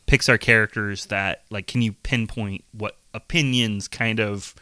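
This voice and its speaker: A man co-hosting a podcast in casual chat, earnest and reflective, with a voice turning raspy from being sick. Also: lowish voice, fairly even intonation, a little slow, pushed and bright, dry recording. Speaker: podcast co-host.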